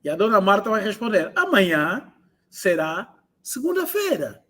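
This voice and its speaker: Male online language tutor, high register, translates and repeats phrases for students. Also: very animated, sharp tone. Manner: repeats phrases slowly for learners. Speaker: language teacher.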